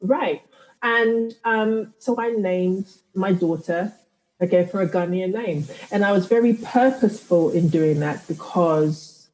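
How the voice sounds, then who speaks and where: A woman's voice: lowish voice, darkish tone. Reflective woman in an echoey room. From United Kingdom. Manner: reflective, thoughtful, many restarts. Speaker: guest, writer and podcaster. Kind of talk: conversational interview.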